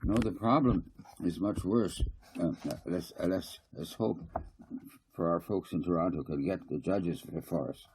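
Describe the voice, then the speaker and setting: A man's voice: low voice, measured pace, darkish tone, a little hiss or hum, poor audio. A man voicing a worried, brassy land developer in a storybook, grave and grumbling.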